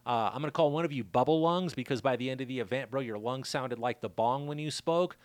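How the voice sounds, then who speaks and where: Laid-back man with a raspy throat. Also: mid-range voice, quick pace, dry recording. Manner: laid-back, loose, chatty with shout-outs. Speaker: podcast host. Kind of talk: casual rambling monologue. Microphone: studio mic.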